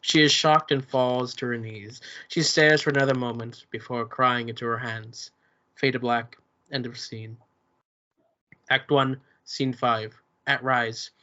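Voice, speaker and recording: A man reading the stage directions at a play table read in a plain, steady way. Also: mid-range voice. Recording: muffled lo-fi recording.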